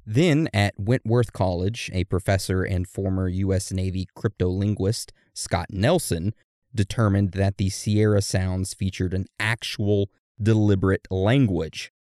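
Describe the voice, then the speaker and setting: A man's voice: low voice, steady tone, measured pace, a little mellow, no echo, clean studio sound. A man co-hosting a casual chat, telling eerie stories. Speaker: podcast co-host.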